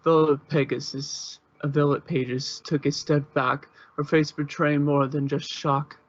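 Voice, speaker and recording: A man's voice: a little high, little pitch movement, a little slow, dull audio. A man narrating fan fiction in an informal amateur style, occasionally stumbling over words.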